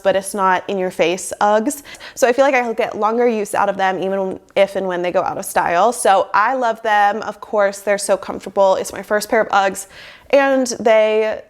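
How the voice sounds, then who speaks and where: A woman's voice: mid-range voice, normal speed. A young woman in her twenties, sick with a cold and sounding nasal, chatting upbeat to camera in a small room on a lapel mic, with lots of "like" fillers. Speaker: lifestyle vlogger. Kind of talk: casual chat to camera. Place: small bedroom office indoors. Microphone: lapel mic plugged into a phone.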